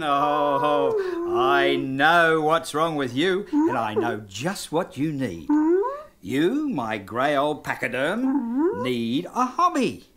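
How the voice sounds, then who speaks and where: A woman's voice: on the low side, heavily acted. A woman voicing a fretful, coaxing male zookeeper in a children's story. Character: fussy caring male zookeeper.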